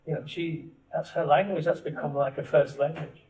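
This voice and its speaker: A man's voice: on the high side, fairly even intonation, moderate pace, a little mellow, slight background noise. A man co-hosting a family podcast, asking long questions that restart as he goes. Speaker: podcast co-host, father. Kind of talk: casual family podcast chat. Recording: dull, echoey, distant mic.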